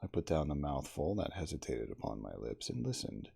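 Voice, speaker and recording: A man reading a classic novel aloud as ASMR, in a soft, intimate, slow dramatic storytelling manner. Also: a bass voice, wildly swooping pitch, vocal fry, husky. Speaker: audiobook reader in character as the narrator.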